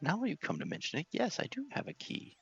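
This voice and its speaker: A man's voice: huge pitch swings, fairly quick, gravelly, a bit bright, slightly rough, no echo, highs cut off, soft background music, heavily acted. A man voicing a befuddled, pompous, scatterbrained old wizard from a fantasy game. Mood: musing, scatterbrained. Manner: pompous, befuddled, swooping into high pitch. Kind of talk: game dialogue read in character.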